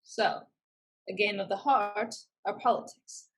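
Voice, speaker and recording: A woman's voice: medium pitch, steady tone, a little slow, fairly bright tone, breathy edge. A woman playing a fierce, protective older sister, direct and firm, in a staged play reading. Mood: pointed, probing.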